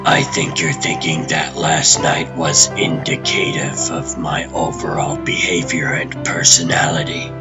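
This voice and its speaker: A man voicing a cocky, wry vigilante hero in a comic dub, with a dry, deadpan delivery. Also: rumbling low, unhurried, hardly any pauses. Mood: dry, persistent. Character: cocky adult male vigilante hero, wry, earnest, restless talker. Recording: loud music bed under the voice.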